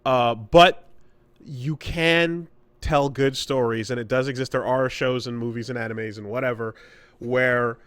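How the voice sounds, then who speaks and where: A male podcast co-host with a medium pitch, recorded dry and close. He talks casually and analytically, feeling his way through each point with halting, repeated words. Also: steady tone, fairly bright tone, clean tone, dry recording. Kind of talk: casual analytical chat about stories in games.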